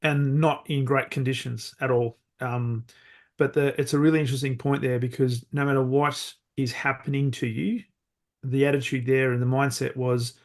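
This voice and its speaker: A man's voice: mid-range voice, flat delivery, normal speed. A man hosting a podcast interview, asking thoughtful questions and restarting words as he builds them. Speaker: podcast host.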